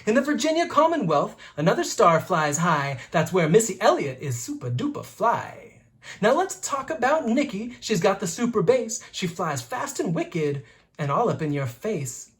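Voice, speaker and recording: A reader with an expressive, playful and rhythmic delivery, reading a rhyming picture book aloud like a teacher to children. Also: medium pitch, moderate pace.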